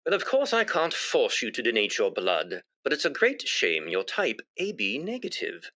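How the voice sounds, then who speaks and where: An actor playing a brisk, patient doctor in a British radio comedy, formal, explanatory and reassuring. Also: male voice, mid-range voice, wildly swooping pitch, bright, forceful tone. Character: brisk, patient doctor persuading a blood donor.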